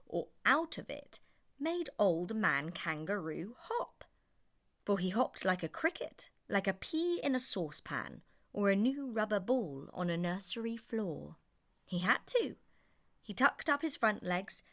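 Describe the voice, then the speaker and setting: A woman's voice: medium pitch, a bit bright. A woman reading a children's story aloud in a theatrical, rhythmic sing-song way.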